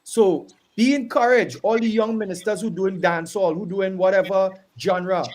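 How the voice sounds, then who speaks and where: Earnest Trinidadian man, slightly high voice. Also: fairly even intonation, moderate pace. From Trinidad and Tobago. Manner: earnest, encouraging, preacherly. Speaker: gospel artist. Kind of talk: casual radio tribute talk.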